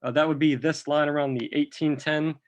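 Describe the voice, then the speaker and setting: A man's voice: fairly high pitch, steady tone, highs cut off. Slightly sick man walking through charts. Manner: unhurried, talks through charts step by step. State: a bit sick with a cough. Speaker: crypto chart analyst. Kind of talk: screen-recorded chart walkthrough.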